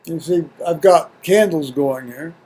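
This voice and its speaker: An American man video blogging at home in a halting, folksy, rambling way, muttering to himself and reading to viewers. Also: fairly high pitch, a little mellow. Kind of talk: rambling casual chat with scripture reading. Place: at home, indoors.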